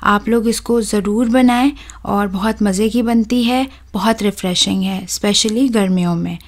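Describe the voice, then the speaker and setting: A young woman, hosting a cooking channel and talking viewers through a recipe in a steady, instructive voice-over. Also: medium pitch, moderate pace. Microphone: voice-over, close mic.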